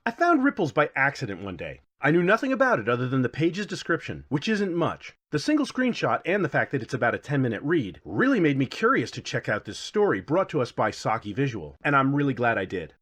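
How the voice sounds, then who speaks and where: A man's voice: medium pitch, sing-song, a little fast, hardly any pauses, a bit bright. A man narrating a scripted comedic review as host, with a theatrical, wry, self-deprecating delivery.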